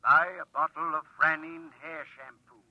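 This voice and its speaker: An actor voicing a helpful, matter-of-fact computer with measured, impersonal delivery in an old radio drama. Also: male voice, on the high side, flat delivery, a little hiss or hum, lightly acted. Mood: instructive. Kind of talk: old-time radio acting. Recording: dry, dull vintage radio recording.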